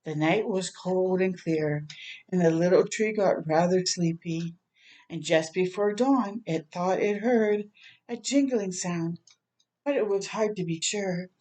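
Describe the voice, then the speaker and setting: Woman doing kids storytime, gentle n expressive, takes her time, soft warm tone. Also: lowish voice, unhurried. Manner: gentle, expressive, prompting listeners with questions. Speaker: children's storytime host reading aloud. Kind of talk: picture book read-aloud with asides to young listeners.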